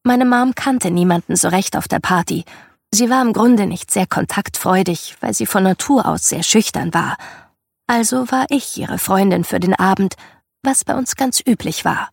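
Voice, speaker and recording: A woman narrating a first-person memoir as a scripted audiobook, in a measured, reflective storytelling manner. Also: mid-range voice, vocal fry, husky, no echo, clean studio sound.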